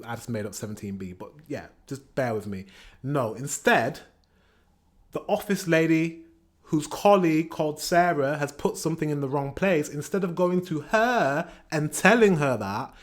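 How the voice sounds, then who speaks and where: A man's voice: a little high, expressive, moderate pace. A British man giving casual, opinionated commentary as a reaction host, chatty and given to acting out examples. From England. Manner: chatty, acts out example exchanges.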